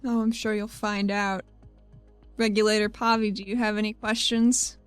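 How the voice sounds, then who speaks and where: A woman playing a tabletop game, playful and joking, quick to swear. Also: mid-range voice, level tone, smooth, no echo, soft background music. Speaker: player. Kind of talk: casual tabletop play.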